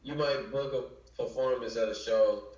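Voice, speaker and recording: A man's voice: medium pitch, steady tone, moderate pace, darkish tone, recorded from a distance. A man from Chicago, a musician guest, talking in a laid-back, slangy way and often saying "you know what I'm saying.". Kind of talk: casual interview chat. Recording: echoey lo-fi room, voice from off-mic.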